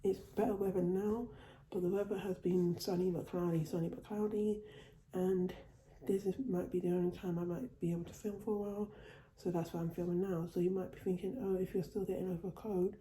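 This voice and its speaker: Woman, home vlogger, very dark tone, recovering from a cold, roomy echo. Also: lowish voice, little pitch movement, a little fast, hardly any pauses, slight background noise. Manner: chatty, repeats and restarts phrases. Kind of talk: casual haul video. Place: at home, indoors.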